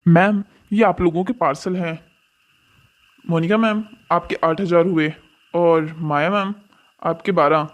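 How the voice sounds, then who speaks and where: A man's voice: a little high, steady tone, a little slow, a bit bright. A man plays a young parcel delivery worker in a cartoon family drama. He is blunt and scornful. His delivery has a lecturing edge, addressed to customers he looks down on. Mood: businesslike, questioning.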